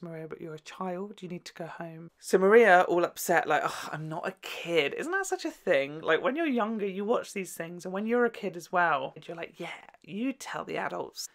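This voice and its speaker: A woman hosting a video, giving casual, gushing and expressive commentary in a campy way. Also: fairly low pitch. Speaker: YouTube host reviewing a children's TV show.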